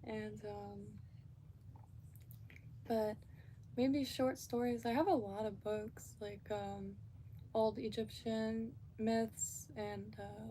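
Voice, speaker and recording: A woman's voice: mid-range voice, mellow, slight background noise. A woman from Florida, chatting casually and rambling on a live stream with lots of fillers and restarts.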